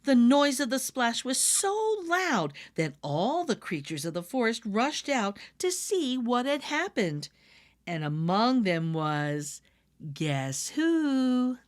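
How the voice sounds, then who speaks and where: A woman reading a children's story aloud with expressive, animated narration, addressing young listeners. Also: on the high side, slow.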